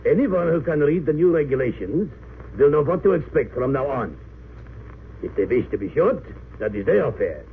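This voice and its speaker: An actor playing a cold, haughty German army lieutenant in an old radio drama, stern, formal and sneering. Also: male voice, fairly high pitch, lively intonation, moderate pace. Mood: menacing, icy. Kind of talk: 1930s-40s radio drama acting. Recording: tinny, hissy old radio recording.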